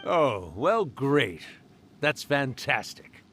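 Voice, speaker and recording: A man's voice: medium pitch, big pitch swings, extremely slow, fairly bright tone, a little hiss or hum, full character voice. An actor playing an old male spirit in a video game, wistful and fond, with a wry, theatrical manner. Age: older. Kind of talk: English video game voice acting.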